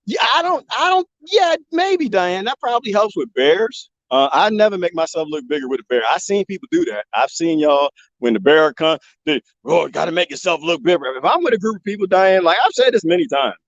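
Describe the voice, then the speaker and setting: A man live streaming from the desert, joking and rambling in a loose, animated way. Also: sits high, fairly quick, few pauses, no echo, highs cut off. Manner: animated, joking, imitates bird chirps. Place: outdoors in the desert.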